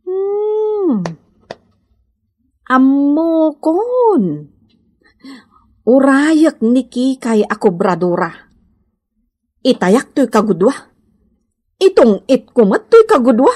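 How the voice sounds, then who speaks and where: High-pitched, animated Ilocano housewife. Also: female voice, extremely slow, pauses often, full character voice. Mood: fretful, scheming. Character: fretful, poor, scheming Filipino housewife and mother who gambles. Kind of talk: Ilocano radio comedy drama acting.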